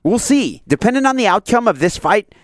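A man's voice: high-pitched, sing-song, brisk, no echo, studio quality. A man in his thirties hosting a casual sports radio talk show, enthusiastic and a little rambling. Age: 30s.

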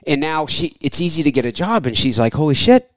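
A man's voice: on the high side, big pitch swings, brisk, dry recording, clean studio sound. Chatty middle-aged man hosting a podcast. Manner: chatty, rambling, reads own ad spots. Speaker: podcast host. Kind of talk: casual interview chat.

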